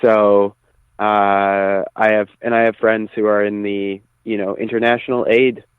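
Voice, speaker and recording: Low-voiced man on tinny phone line. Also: flat delivery, unhurried, smooth, dry recording. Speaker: musician guest. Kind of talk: phone interview chat.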